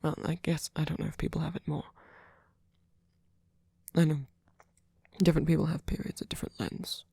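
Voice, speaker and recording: A man playing a sweet, gentle vampire boyfriend in an ASMR comfort roleplay, speaking haltingly in a breathy, husky whisper. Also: a little high, completely flat delivery, no room sound, studio quality, exaggerated voice. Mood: gently musing.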